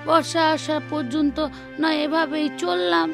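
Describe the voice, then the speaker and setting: A woman voicing village women in a children's cartoon with expressive, emphatic dramatic delivery. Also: high-pitched, measured pace, vocal fry, music in the background. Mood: plain, concerned. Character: village women: maid, mistress, wife, daughter. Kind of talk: Bengali children's cartoon voice acting.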